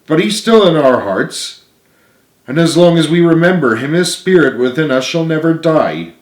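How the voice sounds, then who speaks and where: A man's voice: on the high side, big pitch swings, moderate pace, pitched above the performer's own voice. A man voicing an earnest, remorseful, loyal male friend in a storybook reading, emphatic and pleading. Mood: consoling. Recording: roomy, echoing room sound.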